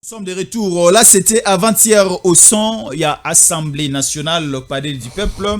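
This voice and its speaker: A man's voice: sits high, normal speed, faint music behind. A man with a Congolese accent hosting a political talk show, repeating short phrases for emphasis. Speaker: host.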